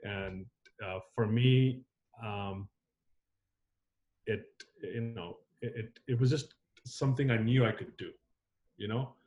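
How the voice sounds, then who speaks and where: A man's voice: fairly low pitch, slow pace, long pauses, a little mellow, lo-fi sound. Reflective man, rambling with fillers. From born in Zambia, raised on the US East Coast. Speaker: filmmaker guest. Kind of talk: casual interview conversation.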